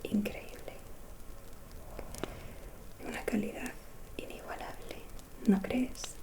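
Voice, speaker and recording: A woman from playing a gentle, mysterious fortune-telling witch in an ASMR roleplay, speaking in a soft, soothing whisper. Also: mid-range voice, fairly even intonation, husky, poor audio. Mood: gentle, attentive. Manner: slow, soothing, confiding.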